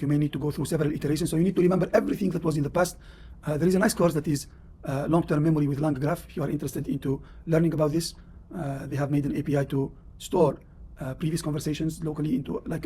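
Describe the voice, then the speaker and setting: A man's voice: a little high, a little mellow, husky. A man with a Tunisian accent, a professor giving a classroom lecture and explaining at length with many "uh"s and "you know"s. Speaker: university professor.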